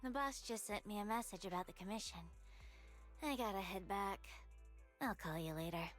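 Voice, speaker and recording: A woman playing a laid-back, blunt teenage shark girl in a video game, talking in an unhurried, nonchalant way. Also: medium pitch, faint music behind, lightly acted.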